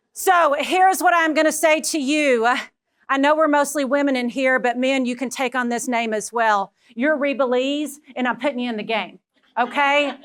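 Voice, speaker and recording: Texan woman, keynote speaker, high voice, energetic and personal with the room. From Texas. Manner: energetic, personal, talks directly to the audience. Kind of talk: motivational presentation to a live audience.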